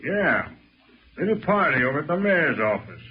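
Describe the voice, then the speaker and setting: An actor playing a gruff, bossy American small-town policeman barking curt orders in a 1940s radio drama. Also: a little high, very animated, normal speed, heavily acted. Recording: dull, noisy old radio recording.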